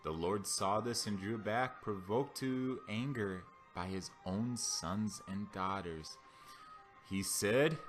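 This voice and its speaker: A man with a lowish voice reads scripture aloud in a solemn style. His phrasing is deliberate and declamatory. Soft music plays behind him. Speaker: Bible reader. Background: background music.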